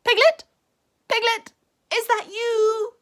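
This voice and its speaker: A Scottish woman voicing a fretful, nervous male rabbit in a storybook, with squeaky, panicky cries. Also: little pitch movement, takes it slowly, a bit bright, heavily acted.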